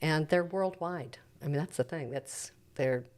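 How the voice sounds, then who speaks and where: A woman's voice: low voice, measured pace, a little mellow. A middle-aged American woman explaining things in an unscripted conversation as a podcast guest. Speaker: podcast guest discussing addiction recovery.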